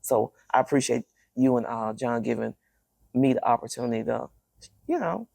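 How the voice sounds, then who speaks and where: A middle-aged woman with a deep, raspy voice, telling personal stories candidly in a casual interview as a guest. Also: lively intonation, moderate pace, a little mellow, no room sound.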